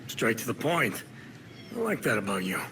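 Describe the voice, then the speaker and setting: Smooth, sly male bar owner. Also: fairly low pitch, sing-song, normal speed, pushed and bright. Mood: sly, ingratiating. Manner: suave, ingratiating, wry. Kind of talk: conversational video game acting. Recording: clear background noise.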